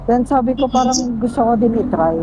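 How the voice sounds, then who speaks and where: A woman with a Filipino accent talks in a joking, teasing way as a rider vlogging on the job. She chats casually into a helmet intercom. Clear traffic and engine noise runs behind her. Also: on the high side, expressive, brisk, dull audio. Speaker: motorcycle taxi rider and vlogger. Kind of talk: casual chat with a passenger. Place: on a motorcycle on the street. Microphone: helmet intercom headset.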